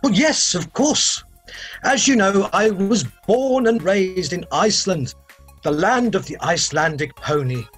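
A man's voice: sits high, animated, a little slow, fairly bright tone, slightly rough, soft background music, full character voice. An older actor playing an eccentric male inventor who rambles and gushes, speaking over a video call. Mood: gushing. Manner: rambling, gushing, sing-song emphasis. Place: home room, video call.

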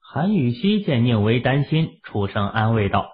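A man's voice: mid-range voice, big pitch swings, normal speed. A man narrating a novel as a scripted, dramatic audiobook reading. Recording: tinny, narrow-band phone-like audio.

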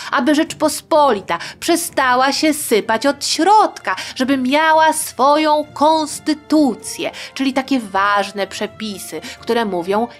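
A woman hosting a history show for children, telling the story in a playful, colloquial, chatty way with expressive emphasis. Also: on the high side. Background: faint music.

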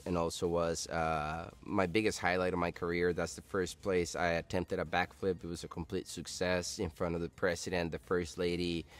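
A man in his thirties from Costa Rica, a monster truck driver, talking enthusiastically with small pauses in an interview, in a dark studio. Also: low-pitched, flat delivery. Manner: enthusiastic, halting. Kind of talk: interview answer.

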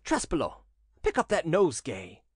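A man's voice: a little high, very animated, brisk, no echo, studio quality, pitched above the performer's own voice. A man voicing a haughty, menacing prince in an audiobook, with an imperious, ironic and commanding manner. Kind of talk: audiobook character acting.

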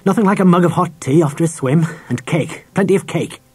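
A man's voice: on the high side, fairly quick, darkish tone, husky, pitched above the performer's own voice. A man voicing a talkative, opinionated middle-aged schoolmaster in an audiobook, with an emphatic teacherly manner. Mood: kindly enthusiastic.

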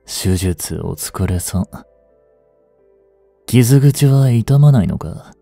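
An actor playing a rough, cocky mafia boss with hidden tenderness in an intimate audio drama, gruff and teasing in a drawl. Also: male voice, on the low side, lively intonation, takes it slowly, mellow, no room sound, exaggerated voice. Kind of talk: intimate Japanese-style dramatic voice acting aimed at women listeners. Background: music.

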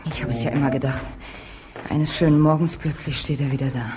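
An actress playing a troubled, wistful wife in a 1950s German radio play, subdued and hesitant. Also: female voice, rumbling low, steady tone, brisk, music in the background.

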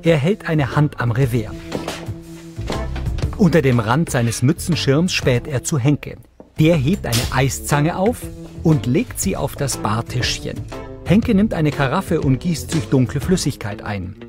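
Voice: mid-range voice, lively intonation, measured pace, music in the background. A narrator reading scripted audio description for a television series.

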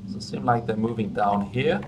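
A man's voice: lowish voice, brisk. A man in his thirties giving casual let's play commentary at home, speaking into a headset. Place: small room at home. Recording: clear background noise, keyboard typing.

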